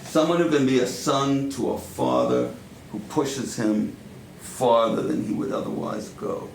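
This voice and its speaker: Man acting gravelly New power lawyer, fatherly. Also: medium pitch, wildly swooping pitch. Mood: assured, forceful. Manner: deliberate, emphatic, persuasive. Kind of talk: dramatic stage monologue. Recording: roomy echo, faint background noise.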